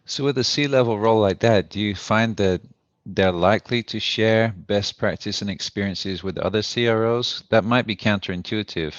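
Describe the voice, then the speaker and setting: A man from the UK with a very low voice hosts a podcast, speaking thoughtfully and pausing on "uh". The recording is dry and a bit dull, like lo-fi audio. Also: no echo. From United Kingdom. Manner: thoughtful, hesitant with "uh" fillers. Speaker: podcast host. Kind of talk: conversational interview.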